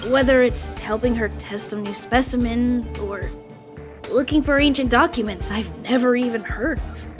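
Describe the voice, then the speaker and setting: Game casting: woman as anxious, loyal boy guard, high-pitched, polite and hesitant, light music behind. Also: lightly acted. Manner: hesitant, formal, deferential. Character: dutiful, polite young male guard, anxious and deferential. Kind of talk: anime-style video game voice acting.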